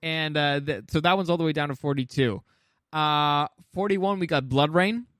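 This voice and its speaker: A man with a fairly high voice and a close, dry sound chats as a co-host. He is opinionated and loose, stumbling into restarts and swearing casually as he works through a ranked list. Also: normal speed, fairly bright tone, dry recording. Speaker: podcast co-host. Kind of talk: casual chat ranking movies.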